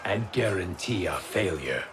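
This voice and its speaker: An actor playing a wary, wry warrior ally in a video game, with a dry but expressive delivery. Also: male voice, low voice, a little slow. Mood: grave. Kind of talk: video game cutscene acting. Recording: loud music behind the voice.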